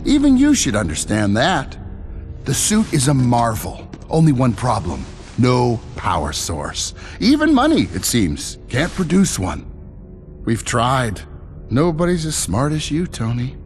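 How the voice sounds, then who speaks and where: A man's voice: mid-range voice, wildly swooping pitch, a little slow, faint music behind. An actor playing a smug, scornful businessman villain in a video game cutscene, condescending and theatrical.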